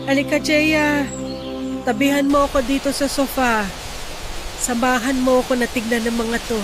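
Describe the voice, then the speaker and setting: Gentle, high-voiced ailing mother, played by an actress. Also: little pitch movement, normal speed, music in the background, lightly acted. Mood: fond, nostalgic. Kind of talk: radio drama acting.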